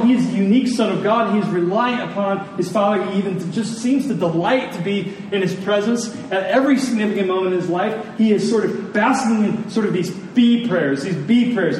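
Echoey, higher-voiced man preaching earnestly. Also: a little mellow. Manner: earnest, emphatic, repeats key phrases with deliberate pauses. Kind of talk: sermon to a congregation. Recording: very echoey room, distant mic, faint noise.

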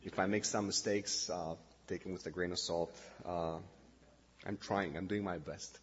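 A middle-aged man from Romania with a Romanian accent, a pastor giving a halting, nervous sermon introduction full of fillers and self-corrections. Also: low-pitched, fairly even intonation, husky, long reverb tail, lo-fi sound. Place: church hall.

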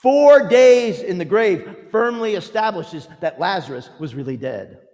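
A male preacher delivers a dramatic storytelling sermon in a large hall with long reverb. His voice sits fairly high for a man. He speaks emphatically and theatrically, shaping phrases with pauses and swings in volume. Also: lively intonation, darkish tone.

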